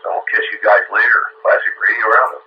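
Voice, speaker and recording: A man's voice: lowish voice, level tone, fast talker. A man talking casually as a radio operator, heard through a muffled two-way radio with faint static. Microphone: radio set microphone heard over air.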